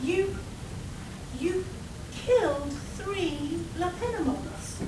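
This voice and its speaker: A woman's voice: very high-pitched, expressive, very slow, full character voice. A woman playing a melodramatic, evasive village woman in a live comedic radio play. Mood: hesitant. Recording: echoey hall, far mic, noisy.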